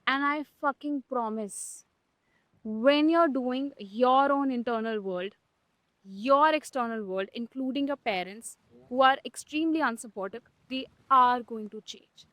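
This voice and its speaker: A young adult woman hosts a frank podcast chat, her voice set high. She talks emphatically and bluntly, dropping swear words for punch. The sound is dry and close, with almost no room echo. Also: a bit bright. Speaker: podcast host. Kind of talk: candid conversational podcast talk.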